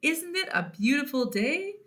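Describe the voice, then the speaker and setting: Woman as a chirpy, high-pitched cheerful tumbleweed girl. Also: big pitch swings, a little slow, exaggerated voice. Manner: bright, chirpy greetings. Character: cheerful, friendly female tumbleweed.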